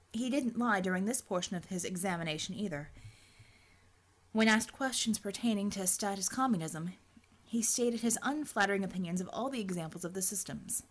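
Female audiobook narrator, mid-range voice, scripted dramatic storytelling. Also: medium pitch.

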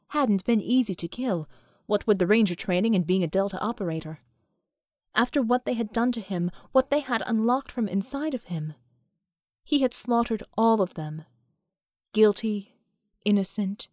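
A woman's voice: fairly high pitch, a little mellow, no room sound, clean studio sound. A woman reading a story aloud as scripted audiobook narration.

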